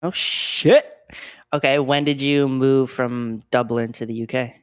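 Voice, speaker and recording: A man's voice: medium pitch, steady tone, a little slow. A young man in his mid-twenties hosts a podcast, chatty and upbeat, dropping frequent "um"s between thoughts. His voice sounds far from the mic in a strongly echoing room. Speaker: podcast host. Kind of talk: casual chat.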